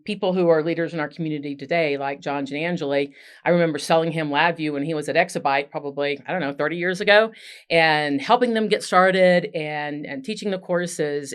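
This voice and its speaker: Low-voiced, outspoken woman in her fifties. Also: smooth. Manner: outspoken, loud-voiced, talks in long storytelling runs. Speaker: guest, software engineering leader. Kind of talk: casual podcast interview.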